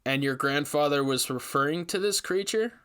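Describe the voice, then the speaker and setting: A man's voice: on the high side, moderate pace, bright, forceful tone, clear, resonant tone. A man voicing an inquisitive, slightly impatient investigator of oddities in a story reading. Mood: probing. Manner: probing, interested.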